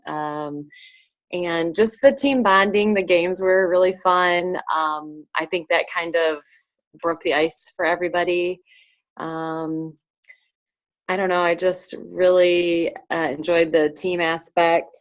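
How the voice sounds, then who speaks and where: A woman sharing with her team on a video call, speaking softly and haltingly with many fillers. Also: lowish voice, no room sound.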